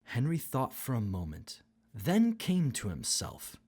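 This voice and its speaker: A woman with a husky voice narrating a rhyming children's story expressively. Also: a bass voice, huge pitch swings, unhurried, darkish tone.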